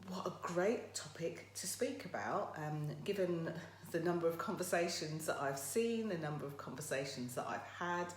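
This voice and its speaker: A woman with a croaky voice hosting a casual live motivational talk, chatting directly to her viewers. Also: lowish voice, moderate pace. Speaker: motivational livestream host. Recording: roomy echo, faint background noise.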